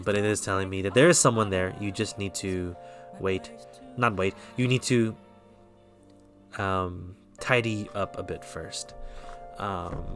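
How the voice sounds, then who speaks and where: A man with an American accent giving a relaxed, rambling tarot reading on a live stream, often stopping to correct himself. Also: fairly low pitch. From Philippines.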